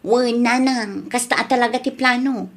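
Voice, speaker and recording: A woman's voice: on the high side, steady tone, moderate pace, a bit bright. A woman playing a plaintive young mother and daughter who complains about money, in a comedy radio drama. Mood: weary, compliant.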